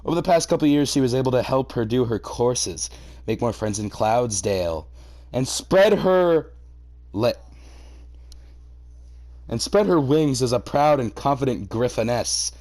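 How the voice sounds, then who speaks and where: A man's voice: mid-range voice, animated, unhurried, pauses often, darkish tone. A man doing a comedic reaction reading, narrating a story aloud and breaking off into mocking, sweary, theatrically exasperated commentary. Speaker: host reading a fan fiction aloud and reacting.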